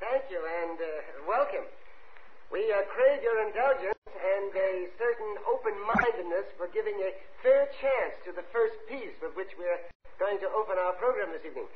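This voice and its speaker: A fussy, high-pitched concert emcee in an old radio play. He speaks formally, with halting apologies. The recording is thin and phone-like. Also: expressive, a little hiss or hum. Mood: earnest, apologetic. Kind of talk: 1950s radio drama acting, stage announcement.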